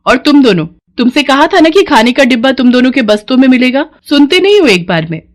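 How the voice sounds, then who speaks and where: A woman voicing a pious, sharp-tongued village wife and mother in a dramatized moral story, emotional in her delivery. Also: high voice, animated, fast talker, voice pushed up. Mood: scolding.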